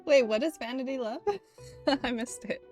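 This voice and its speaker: A woman livestreamer chatting casually with her viewers in a playful, giggly, expressive way. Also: high-pitched, moderate pace, soft background music. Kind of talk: casual chat with stream viewers.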